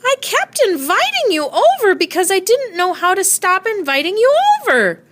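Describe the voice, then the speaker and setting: A woman's voice: very high-pitched, sing-song, moderate pace, a bit bright, pitched above the performer's own voice, exaggerated voice. A woman voicing a friendly, over-eager female neighbor from a children's storybook, with sing-song hollering. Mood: earnest, confiding.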